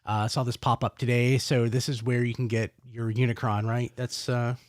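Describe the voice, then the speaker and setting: A man's voice: mid-range voice, normal speed, no echo, studio quality. A man hosting a casual toy podcast while sick, stuffy and a little congested, rambling in a chatty way. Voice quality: stuffy nose. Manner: lively, rambling, self-deprecating. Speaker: podcast host. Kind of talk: casual roundtable chat.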